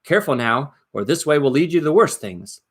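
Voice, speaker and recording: A man's voice: fairly high pitch, expressive, fairly quick, pitched above the performer's own voice. A man voicing a cautious, anxious little hobbit urgently muttering to himself. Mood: anxious, wary.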